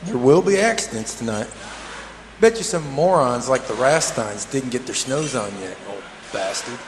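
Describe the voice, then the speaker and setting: A man's voice: mid-range voice, animated, moderate pace, big-room sound, noisy background, close to an everyday voice. A man playing a blunt, folksy middle-aged garage owner in an amateur audio drama. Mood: gruff.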